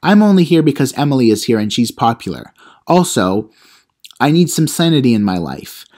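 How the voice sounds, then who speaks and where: A man's voice: medium pitch, sing-song, normal speed, darkish tone. A man voicing a reluctant, anxious teenage girl in a comedy audio play. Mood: reluctant, flustered.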